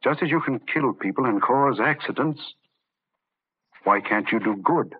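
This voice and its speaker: An actor playing an intense, rational but rattled doctor in an old-time radio drama, speaking urgently and emphatically. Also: male voice, medium pitch, normal speed, slightly rough, natural voice. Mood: urgently excited. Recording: muffled narrow-band old radio recording.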